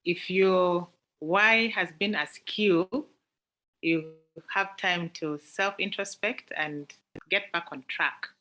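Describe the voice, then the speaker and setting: Slow-spoken woman hosting a meeting. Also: fairly low pitch, bright, forceful tone, rough recording. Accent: Ugandan. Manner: hesitant, fillers and repeated words. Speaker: meeting host. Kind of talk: hosting an online club meeting. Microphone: video call.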